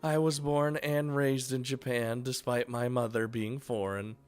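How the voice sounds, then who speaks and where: Guy reading out a polite calm teen girl, smooth clear voice, faint music behind. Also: medium pitch, measured pace, close to an everyday voice. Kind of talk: reading game dialogue aloud.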